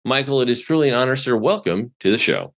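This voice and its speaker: A man's voice: medium pitch, expressive, fast talker, a bit bright. A man hosting a podcast interview, with an upbeat, scripted-sounding intro and friendly questions. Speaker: podcast host.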